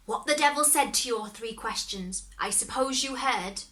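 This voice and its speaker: A kindly old grandmother from a fairy tale, as a woman reader plays her, gentle and coaxing. Her voice rises high and bright. She speaks slowly and warmly to the young hero. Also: close to an everyday voice.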